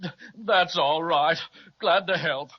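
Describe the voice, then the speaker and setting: An actor playing a fussy, pompous but kindly storybook creature, fluttery and hemming, in an old-time children's radio serial. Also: male voice, fairly high pitch, slow pace, breathy edge. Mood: flustered. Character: fussy, pompous, kindly male toy animal companion. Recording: muffled lo-fi old radio recording.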